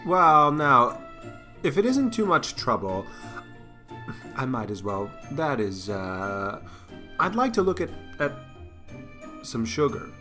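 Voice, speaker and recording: A man's voice: medium pitch, very animated, over music. An actor playing a shy, awkward farmer in his sixties who stammers and halts through every sentence in an audiobook drama. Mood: flustered. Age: 60s. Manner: stammering, hesitant, halting. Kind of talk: full-cast audiobook acting.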